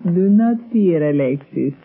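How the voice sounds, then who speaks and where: A husky, slow-spoken femme fatale, low for a woman, formal and veiled in menace. It is a muffled old radio drama recording. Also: lively intonation, heavily acted. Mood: cool, scheming. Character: sly, exotic Indian female dancer and smuggler, veiled menace. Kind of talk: 1930s radio drama acting. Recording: muffled 1930s radio recording, faint music.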